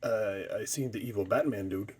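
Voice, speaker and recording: A man's voice: fairly low pitch, moderate pace, darkish tone, slightly rough. A man voicing a blunt, boastful demon samurai creature in an improvised toy skit. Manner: blunt, brushes off questions.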